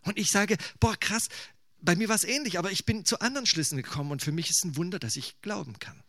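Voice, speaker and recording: Chatty preacher, higher-pitched man. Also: quick pace, sharp tone, no room sound, studio quality. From Thuringia, eastern Germany. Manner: conversational, musing, with fillers like "ne?". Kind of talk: sermon.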